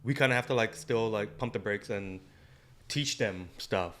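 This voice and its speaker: A man in his late twenties from California, with a local accent and a fairly low voice. He chats casually as a podcast co-host, loose and slangy, often feeling around for the right word. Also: normal speed, fairly bright tone. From San Bruno, California, US. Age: late 20s. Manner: slangy, loose, searching for words. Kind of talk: casual chat.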